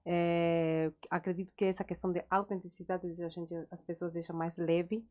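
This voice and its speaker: A woman's voice: fairly low pitch, flat delivery, moderate pace. A woman with a regional accent co-hosting a psychology podcast, speaking encouragingly in a casual conversation. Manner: warm-hearted, encouraging, lots of "né".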